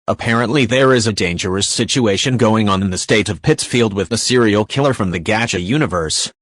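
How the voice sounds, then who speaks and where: A man's voice: fairly low pitch, brisk, a bit bright, dry recording. A man playing a solemn TV news anchor, reading a scripted breaking news report. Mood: grave, reporting.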